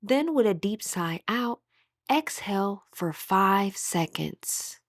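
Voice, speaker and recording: A woman leading a guided meditation as instructor, speaking in a calm, soothing, measured way with pauses. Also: mid-range voice, no room sound, clean studio sound.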